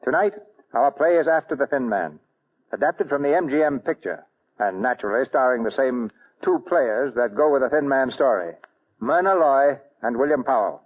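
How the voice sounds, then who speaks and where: A man's voice: mid-range voice, animated, moderate pace, slightly rough. A man presenting a radio theatre play in formal, dramatic 1940s announcing style, reading scripted narration. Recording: dull 1940 radio recording, music behind.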